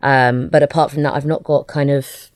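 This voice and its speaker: A woman with a low voice answers interview questions in a casual way. She feels her way through sentences, stopping and rephrasing. The sound is dry and close, with very little room on it. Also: steady tone, darkish tone, dry recording. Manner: halting, self-correcting. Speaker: interview guest, audio drama writer.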